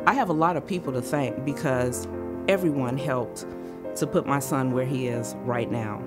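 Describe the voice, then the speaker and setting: A middle-aged man talks as a parent in an interview. His voice is of medium pitch, with clear guitar music behind it. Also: normal speed. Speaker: parent giving testimonial. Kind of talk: interview testimonial. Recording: guitar music bed under the voice.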